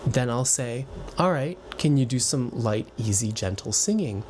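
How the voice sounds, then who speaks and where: A man who teaches voice gives gentle, reassuring advice straight to his viewers in a relaxed, conversational way, now and then restarting a phrase. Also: mid-range voice, normal speed, slight background noise. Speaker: voice and piano teacher. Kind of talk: direct-to-camera advice talk.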